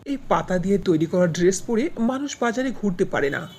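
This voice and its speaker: A woman's voice: medium pitch, lively intonation, brisk, warm tone, slight background noise, natural voice. A woman voicing a businesslike, emphatic man who owns a shop and factory, in a fairy-tale cartoon. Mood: thoughtful. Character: male shop and factory owner, businesslike customer.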